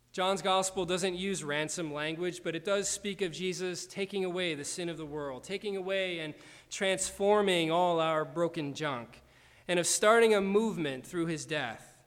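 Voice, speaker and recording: Measured male preacher in a reverberant sanctuary. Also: a little high, a bit bright. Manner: measured, slowing for emphasis. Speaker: pastor. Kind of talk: sermon.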